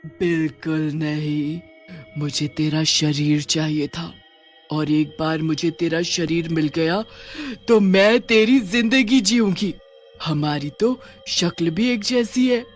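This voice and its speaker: Woman playing a slow, menacing ghost witch. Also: low-pitched, soft background music, voice pushed down. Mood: gloating. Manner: slow, drawn-out, taunting with gloating laughs. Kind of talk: Hindi cartoon horror story acting.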